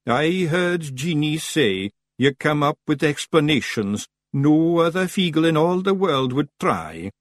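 A high-pitched, hapless little Scottish simpleton. He sounds naive and earnest, blurting his words with a lively rise and fall. It is a storybook character in a dry recording. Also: a little slow, few pauses, no echo. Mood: admiring.

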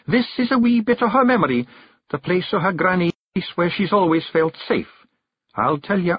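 A man's voice: high-pitched, husky, no room sound, highs cut off, pitched above the performer's own voice, exaggerated voice. A man voicing a young, nervous male pictsie seer with a Scottish accent, earnest and anxious.

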